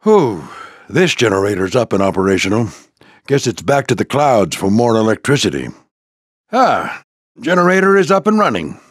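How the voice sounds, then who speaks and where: An older American man voicing a proud, gruff old military plane who mentors a squadron in a video game, with a gravelly voice and a commanding, folksy manner. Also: medium pitch, big pitch swings, moderate pace, dry recording, clean studio sound. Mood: brisk, focused. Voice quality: gravelly, husky. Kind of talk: scripted video game character lines.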